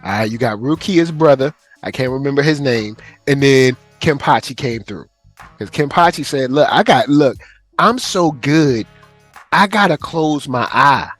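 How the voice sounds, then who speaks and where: A man hosting a podcast, talking in an excited casual way and acting out quotes from a show. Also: medium pitch, unhurried, soft background music. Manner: animated, hyped, acts out quotes. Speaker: podcast host.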